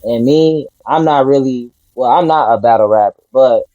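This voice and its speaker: A man's voice: mid-range voice, normal speed, warm tone, no room sound, lo-fi sound. A man from Detroit, a rapper, answering interview questions in a laid-back, earnest way. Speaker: rapper guest.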